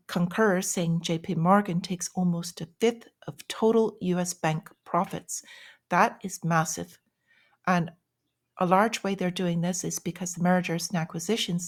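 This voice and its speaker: A woman's voice: lowish voice, darkish tone. A woman giving informal news commentary in a plain-spoken, explanatory and cautionary way, as the host of a financial vlog.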